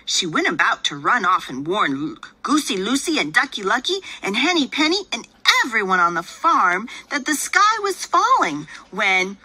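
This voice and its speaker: A woman reading a picture book aloud in a gentle, patient storytelling manner, voicing the father. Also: mid-range voice, sing-song, normal speed, hardly any pauses, a little hiss or hum, poor audio.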